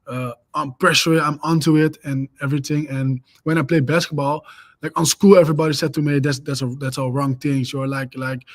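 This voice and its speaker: A young man speaks English with a Dutch accent. His voice sits fairly high, and he talks with eager, restless energy. The sound is dry and close. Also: steady tone, fairly quick, no echo. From Netherlands. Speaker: guest. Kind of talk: casual interview chat.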